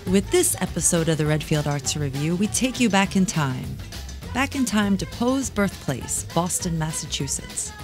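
A woman's voice: on the low side, very animated, normal speed, a little mellow, soft background music. A woman reading scripted promotional narration as an announcer. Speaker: podcast announcer.

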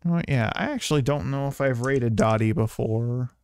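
A man's voice: medium pitch, moderate pace, a little mellow, clear, resonant tone, no echo, studio quality. A man chatting casually to viewers as a game streamer, rambling along and swearing now and then. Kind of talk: casual livestream chat to viewers.

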